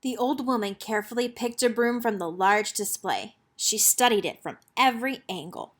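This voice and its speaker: A woman reading a children's picture book aloud as an expressive storyteller. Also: fairly high pitch, normal speed.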